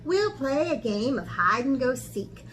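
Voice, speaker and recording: Lady with a highish voice doing a kids art class and storytime, really expressive and encouraging, noticeable noise behind her. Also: unhurried. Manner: expressive, encouraging talk aimed at young children, with dramatic gasps. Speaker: art camp teacher. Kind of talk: children's art lesson and picture book read-aloud.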